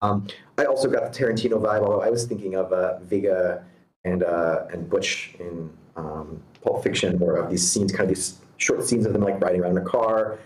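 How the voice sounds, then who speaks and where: A man giving casual, constructive feedback on a screenplay, halting as he thinks aloud. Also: deep voice, steady tone, reverberant, low-quality recording. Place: online video meeting.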